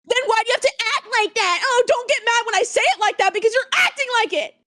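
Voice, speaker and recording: Woman in a recorded fight, angry and accusing. Very high voice, dry sound. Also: fast talker, fairly bright tone, no echo. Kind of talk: heated argument in a played clip.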